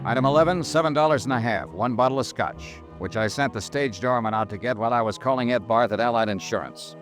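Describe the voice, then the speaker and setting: A man's voice: mid-range voice, fast, lightly acted. A man playing a tough, unflappable insurance investigator in a 1950s radio drama, clipped and matter-of-fact. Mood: dry, matter-of-fact. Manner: clipped, hard-boiled, matter-of-fact delivery. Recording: restored 1950s radio recording with music.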